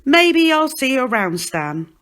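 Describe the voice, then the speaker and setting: A woman's voice: sits high, big pitch swings, measured pace, fairly bright tone, pitched above the performer's own voice, exaggerated voice. A woman reading a storybook, playing a glum, bitter male fairground hustler who sighs and needles. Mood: disappointed. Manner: sighing, downbeat, needling.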